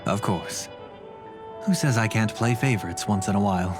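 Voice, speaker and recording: An actor playing a composed, protective older brother in a game cutscene, speaking in a measured, calm and firm way. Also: male voice, on the low side, lightly acted. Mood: reassuring. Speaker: game character. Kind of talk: voiced game cutscene dialogue. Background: game music.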